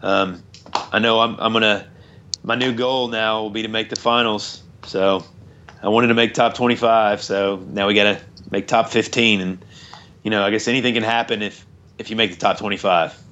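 A man's voice: lowish voice, fairly even intonation, moderate pace, sharp tone, highs cut off. A man in his late thirties from Tennessee, a dirt bike racer talking as an interview guest, modest and plain-spoken, often repeating words as he starts sentences. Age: late 30s.

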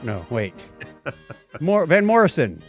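Male podcast co-host, slightly high voice, very animated, joking and opinionated, faint music underneath. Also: slow. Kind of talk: casual banter and reading out history items.

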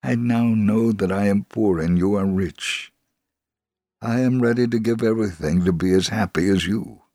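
A man's voice: on the low side, normal speed, warm tone, no echo, clean studio sound, natural voice. A man voicing a sad, wealthy father in a dramatic reading, speaking earnestly. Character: sad wealthy father, slowly won over.

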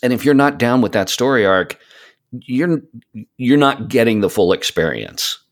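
A man's voice: medium pitch, big pitch swings, normal speed. A man chatting as a podcast guest, explaining things in an enthusiastic, rambling way. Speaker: podcast guest, voice of an audio show. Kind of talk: casual conversational interview.

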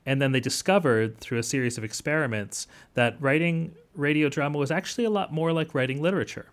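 A man's voice: on the high side, fast talker. A man speaking as an academic interview guest, explaining things thoughtfully and hesitantly in scholarly wording. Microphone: remote line.

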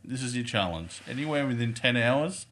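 A man with a thick Australian accent, hosting a podcast in a relaxed, chatty way. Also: mid-range voice, moderate pace. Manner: laid-back, chatty, swears casually. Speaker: podcast host. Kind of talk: casual podcast interview.

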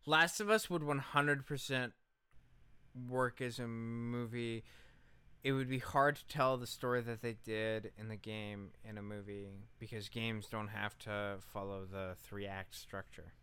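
A man's voice: mid-range voice, fairly even intonation, unhurried, sharp tone, smooth, dry recording. A man co-hosting a podcast, giving opinionated reactions in casual chat, emphatic and sweary, often repeating himself. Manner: emphatic, repetitive, casually profane. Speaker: podcast co-host.

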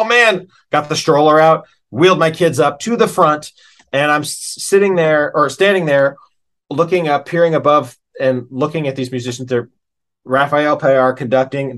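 A middle-aged American man speaks as a musician being interviewed. His voice sits at an average pitch, and he talks casually with plenty of slang. He tells his stories in an animated, conversational way.

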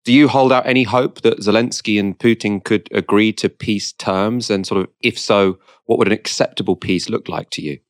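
A man interviewing a guest in a conversational way, putting measured, probing questions. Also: lowish voice, no echo, clean studio sound.

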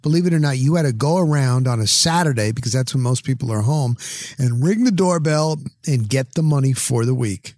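A man's voice: mid-range voice, expressive, fairly quick, darkish tone, no echo, clean studio sound. A middle-aged American man from New Jersey hosting a podcast in casual, effusive talk, often repeating phrases for emphasis. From New Jersey, United States. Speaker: podcast host.

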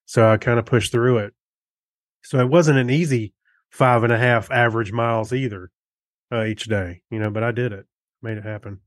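A man's voice: mid-range voice, fairly even intonation, normal speed, dry recording, studio quality. An American man chatting casually as a podcast co-host, with an easygoing, folksy way of talking. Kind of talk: casual chat.

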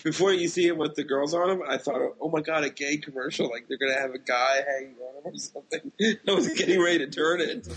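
A man's voice: fairly high pitch, brisk, a bit bright, husky, rough recording. An American man with a cold co-hosting a podcast, chatting casually in blunt, slangy language. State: has a cold. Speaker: podcast co-host. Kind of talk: casual chat.

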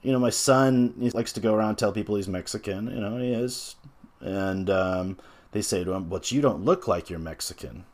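A man around forty, a tabletop game designer, talking in a casual interview in a reflective, hesitant way with many pauses and fillers. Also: fairly low pitch, a little mellow. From North America.

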